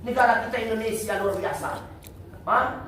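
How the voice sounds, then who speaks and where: A man preaching a lively mosque sermon, putting questions to the congregation and answering them himself. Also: high voice, normal speed, a crowd in the background. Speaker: Islamic preacher. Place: mosque prayer room. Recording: echoey room, distant mic, faint noise.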